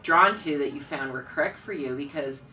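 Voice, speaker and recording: A woman's voice: low voice, normal speed. A middle-aged woman, the founder of a styling program, talking in an assertive, enthusiastic way in an interview. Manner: assertive, enthusiastic, self-described louder voice. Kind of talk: conversational interview. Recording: distant mic with faint room noise.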